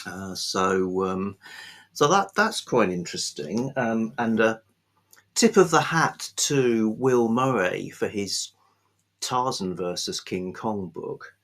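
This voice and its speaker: Low-voiced, husky man chatting about books. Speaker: book reviewer, video host. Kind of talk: unscripted book talk to camera.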